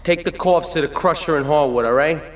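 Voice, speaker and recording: A man's voice: fairly high pitch, brisk, echoey room, noisy background, close to an everyday voice. A man playing a young mobster's son who hands out jobs offhandedly in a game cutscene. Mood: casual.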